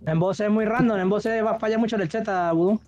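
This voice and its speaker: A man's voice: high-pitched, brisk, a little mellow, no room sound. A man talking casually in slang over a gaming voice chat. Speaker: player in a gaming group. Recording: dull, dry voice-chat audio.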